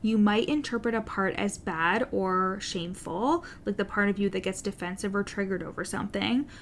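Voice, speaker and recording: A woman's voice: medium pitch, moderate pace, slight background noise. Earnest woman coach with a stutter. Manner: earnest, direct, occasional swearing. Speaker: business coach, podcast host. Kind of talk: solo podcast monologue.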